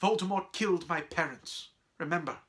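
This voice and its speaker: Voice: medium pitch, moderate pace, fairly bright tone, voice pushed up, lightly acted. A reader playing an urgent, headstrong schoolboy. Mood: angry, desperate. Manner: urgent, breathless, stumbling over words. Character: earnest, headstrong young schoolboy hero.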